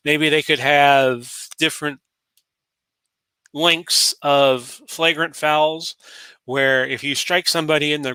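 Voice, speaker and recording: A man's voice: on the high side, pushed and bright, clean tone, dry recording. A man in his late forties from Florida, hosting a solo talk show in a rambling, casual way full of fillers and restarts. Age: 40s. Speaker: podcast host.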